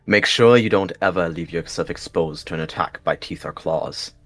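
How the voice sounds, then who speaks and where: A man's voice: low-pitched, moderate pace, faint music behind, lightly acted. A man voicing a stern, intense warrior cat mentor who gives commanding instructions in a roleplay game. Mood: stern, instructive.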